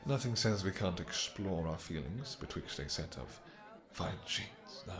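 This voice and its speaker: A man's voice: sits low, animated, measured pace, over music. A man playing a possessive, courtly vampire king in a romantic fantasy audio roleplay, speaking silky endearments with mock-polite menace. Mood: doting, flirtatious.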